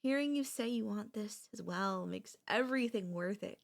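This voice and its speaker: A young woman playing an obsessive, possessive kidnapper and childhood friend in an ASMR audio roleplay, speaking in a soft, intimate, pleading manner. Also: mid-range voice, moderate pace, vocal fry, lightly acted.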